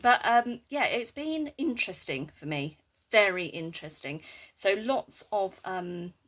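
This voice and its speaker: A woman with a hoarse, nearly lost voice from a sore throat, chatting casually in a meandering vlog and reading moon guidebooks aloud. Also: medium pitch, expressive, a little slow, a bit bright. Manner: chatty, meandering, with frequent fillers and asides. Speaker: Reiki practitioner and moon vlogger.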